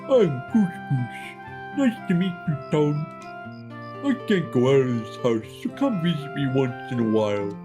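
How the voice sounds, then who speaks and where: A man's voice: a little high, sing-song, unhurried, over music. A man voicing a big, chatty, friendly video game townsperson with a sweet tooth and a simple, lilting delivery. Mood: friendly, eager.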